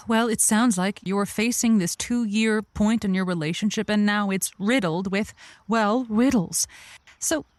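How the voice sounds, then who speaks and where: An actress as an earnest woman hosting a radio advice show. She picks her way haltingly through ornate phrases, thoughtful and easily puzzled. The sound is close and dry. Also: medium pitch, no room sound, natural voice. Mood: thoughtful, concerned. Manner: thoughtful, halting, florid vocabulary.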